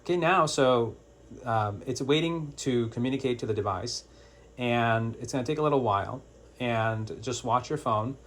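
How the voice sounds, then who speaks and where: A man's voice: medium pitch, normal speed. A man presenting an informal step-by-step product tutorial in a plain-spoken, hands-on, instructional way.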